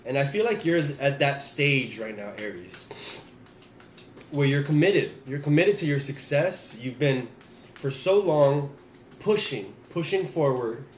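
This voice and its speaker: A man's voice: mid-range voice, a little slow, echoey room, slight background noise. A man giving a casual tarot reading, talking straight to his viewers while getting over the flu. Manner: relaxed, repeats and restarts, addresses viewers directly. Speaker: tarot reader.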